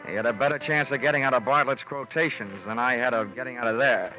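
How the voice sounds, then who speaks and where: Hardboiled insurance investigator male actor, American, deadpan wisecracks, mid-pitched, vintage radio drama, dull old broadcast sound. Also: fast, over music, lightly acted. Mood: dry, sardonic. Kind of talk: 1950s radio detective drama acting.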